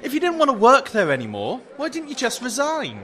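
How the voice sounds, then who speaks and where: A man's voice: extremely high pitch, wildly swooping pitch, a little fast, a faint crowd, exaggerated voice. Swooping, sceptical male friend. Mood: gently reproachful. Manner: hesitant, gently challenging. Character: sceptical, reasonable male friend of young shop girl. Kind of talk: radio play acting.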